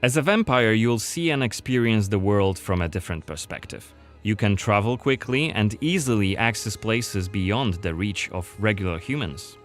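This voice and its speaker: A man's voice: on the low side, animated, normal speed, soft background music. A man narrating a scripted gameplay showcase as its presenter.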